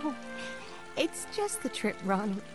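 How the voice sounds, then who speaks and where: A woman's voice: medium pitch, sing-song, measured pace. Anxious, fretful mother, music behind. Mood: worried, tender. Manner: fretful, pleading. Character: anxious, loving mother of a grown son. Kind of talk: 1940s radio drama acting.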